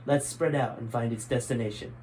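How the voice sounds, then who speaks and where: A man's voice: medium pitch, fairly even intonation, a little fast, a little mellow, slight background noise, lightly acted. A man voicing a stern, scowling army general in a storybook, terse and commanding.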